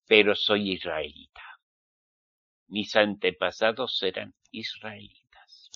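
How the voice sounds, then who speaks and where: A man with an accent from Spain delivers a fiery, admonishing sermon in a forceful, sharp-edged voice. He speaks at a slow pace and pushes rhetorical questions at his listeners. The recording is dry and somewhat dull. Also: on the low side, fairly even intonation, gravelly, dry recording. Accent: Spanish (Spain). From Spain, Basque Country. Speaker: religious preacher.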